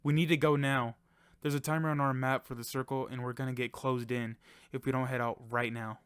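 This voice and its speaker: A man's voice: mid-range voice, little pitch movement, brisk, fairly bright tone, smooth, dry recording, studio quality, close to an everyday voice. A man playing an eager, inquisitive young friend in an amateur audio drama. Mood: urgent.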